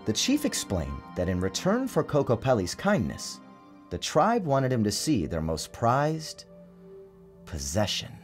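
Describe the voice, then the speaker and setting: A man narrating his own story as a dramatic storybook reading, in a theatrical, measured and expressive manner. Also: mid-range voice. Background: music.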